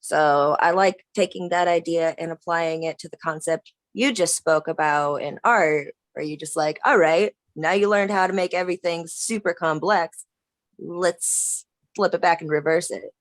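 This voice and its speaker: A woman in an online drawing group, chatting eagerly and halting often as she thinks aloud. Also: lowish voice, fairly even intonation, no room sound. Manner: enthusiastic, halting, many fillers and restarts. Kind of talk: casual chat on a video call.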